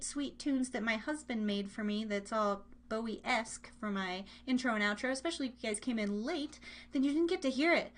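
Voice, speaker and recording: A woman's voice: high voice, fairly bright tone. A woman hosting a live internet radio show, chatting casually in a playful, rambling way and repeating her phrases as she runs games.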